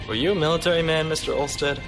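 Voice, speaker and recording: A man's voice: fairly high pitch, fairly even intonation, fast, close to an everyday voice. A man playing an earnest, wary military fugitive who asks questions in an audio drama. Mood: puzzled, reasoning. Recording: music behind the voice.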